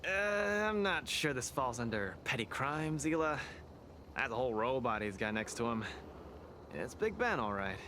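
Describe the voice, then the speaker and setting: A man's voice: mid-range voice, big pitch swings, normal speed, background noise, natural voice. A man playing a boisterous young boxing champ. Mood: friendly. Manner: boisterous, showy, upbeat. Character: boastful cheerful young male boxing champion. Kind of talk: video game voice acting. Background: game music.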